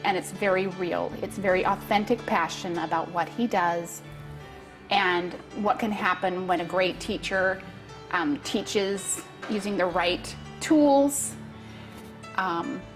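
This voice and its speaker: A woman giving an unscripted interview testimonial, in measured phrases. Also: medium pitch, faint music behind.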